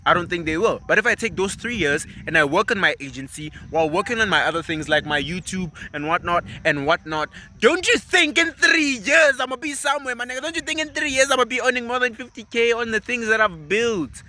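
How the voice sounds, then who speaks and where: Bright-voiced animated young man. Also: sits high, a little fast, few pauses. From South Africa. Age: 20s. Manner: animated, emphatic, persuasive, slangy. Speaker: vlogger. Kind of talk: casual motivational monologue.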